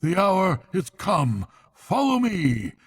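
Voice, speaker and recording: A steady badger leader from a bedtime storybook, read by a man. He is placid and firm, speaking unhurriedly and giving orders with authority. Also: high voice, takes it slowly, breathy edge, voice pushed up, exaggerated voice. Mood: rousing command. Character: placid, commanding male badger, gruff leader.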